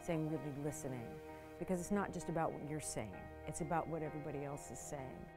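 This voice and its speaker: A woman's voice: low voice, quick pace. A woman talking reflectively in an interview. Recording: music behind the voice.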